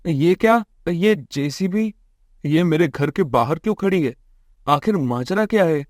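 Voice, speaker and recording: A man's voice: fairly high pitch, expressive, unhurried, no room sound, clean studio sound, pitched above the performer's own voice. A man voicing a poor, troubled family man, earnest and plaintive, in a cartoon moral story. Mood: anxious, pleading. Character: poor, distressed, pleading young family man.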